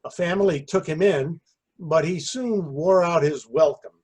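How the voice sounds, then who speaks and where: Man acting a husky, hapless storyteller. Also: a little high, unhurried, darkish tone, poor audio. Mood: earnest. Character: hapless, earnest man rehearsing a half-baked party anecdote. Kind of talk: readers theater dialogue.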